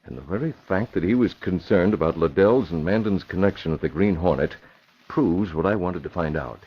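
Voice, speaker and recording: Very deep-voiced, composed newspaper publisher. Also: male voice, slightly rough. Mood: pleased, reasoning. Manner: articulate, measured, formal. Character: composed, shrewd male newspaper publisher, self-assured. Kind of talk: 1940s radio crime acting. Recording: dull, faintly noisy vintage radio recording.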